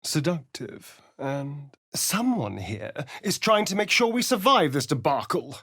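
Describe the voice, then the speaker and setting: Sly vampire rogue, very animated pitch, dry close sound, arch, sardonic, video game character. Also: male voice, measured pace, husky, dry recording, full character voice. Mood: wry, brooding. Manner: arch, flamboyant, sardonic, theatrical delivery. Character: sly, vain, theatrical male vampire spawn rogue. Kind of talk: video game voice acting, dramatic lines and narration.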